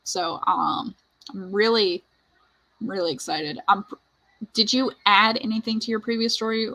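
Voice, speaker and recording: Casting: woman livestream host, bright voice with a husky edge, chatty and informal. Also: medium pitch, fairly even intonation, measured pace. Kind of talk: casual chat on a writing livestream.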